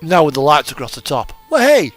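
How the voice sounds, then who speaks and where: Higher-pitched British man, rambling casually. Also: big pitch swings, brisk, fairly bright tone. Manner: rambling, musing, unscripted. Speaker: streamer playing a truck driving simulator. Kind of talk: casual chat while gaming. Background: radio music and truck game engine sound.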